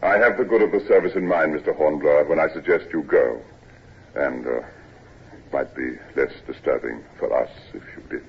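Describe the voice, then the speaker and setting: An actor playing a weary, ailing older naval captain, stern but kindly, in a measured, hesitant old-time radio drama style. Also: male voice, rumbling low, noisy background. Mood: weary, resigned. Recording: dull old radio recording.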